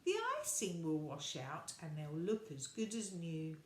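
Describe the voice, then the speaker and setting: A woman's voice: deep voice, animated, measured pace, a little mellow, voice pushed down. A woman voicing a kindly old male toy bear, soothing and reassuring, in a children's storybook. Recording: echoey room with background noise.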